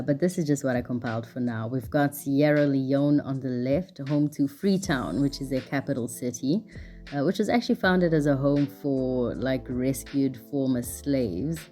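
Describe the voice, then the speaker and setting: A woman's voice: a bass voice, mellow. A young woman from South Africa narrating her travel vlog in a chatty, casual way, full of "like" and light laughs. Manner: chatty, lots of "like", laughs often. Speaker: vlogger.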